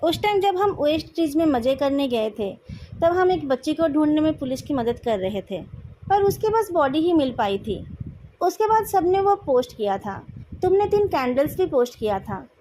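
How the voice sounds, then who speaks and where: Woman voicing a calm, coolly taunting young man. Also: high voice, a little hiss or hum. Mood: calm, frank. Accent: Indian. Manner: calm, measured.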